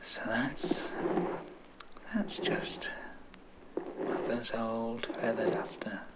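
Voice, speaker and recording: A man with an English accent doing an old-fashioned ASMR role-play, hushed and soothing, with genteel, formal turns of phrase. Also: mid-range voice, animated, poor audio. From England. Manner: slow, hushed, soothing delivery. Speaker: ASMR host.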